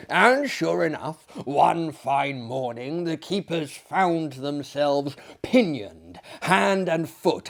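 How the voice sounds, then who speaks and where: A man voicing a sly, boastful older gentleman in a dramatic literary story, with a theatrical, relishing, deliberate delivery. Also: medium pitch. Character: sly, boastful older Frenchman running an asylum.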